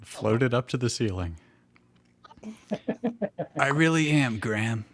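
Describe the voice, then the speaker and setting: A man's voice: mid-range voice, expressive, slow, a bit bright. A man making wisecracks and reading character lines in a group story reading. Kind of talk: joking riffs and acted dialogue.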